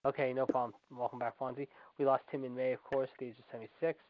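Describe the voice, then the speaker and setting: Fast-talking man hosting, dull lo-fi sound. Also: mid-range voice, little pitch movement. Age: 40s. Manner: repetitive stock phrases, emphatic announcer-like address. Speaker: host. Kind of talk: casual radio show hosting. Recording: dull lo-fi internet radio audio.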